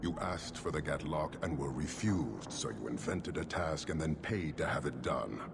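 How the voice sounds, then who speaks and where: An actor playing a stern, imposing horned giant warlord in a fantasy video game, speaking in a measured, severe, gravelly voice. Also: male voice, a bass voice, lively intonation, full character voice. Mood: cold, stern. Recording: loud ambient noise under the voice.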